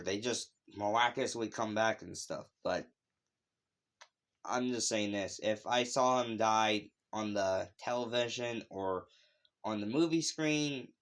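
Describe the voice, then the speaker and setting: A man hosting a casual, unscripted video review, talking in a rambling, conversational way that drifts into asides. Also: lowish voice. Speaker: YouTube video host reviewing animated shows. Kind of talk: casual unscripted opinion vlog.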